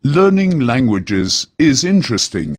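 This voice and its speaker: A man giving slow, measured translations of phrases in a language lesson. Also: medium pitch, sing-song, no room sound, studio quality. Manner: deliberate, measured delivery. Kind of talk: slow spoken translations for learners.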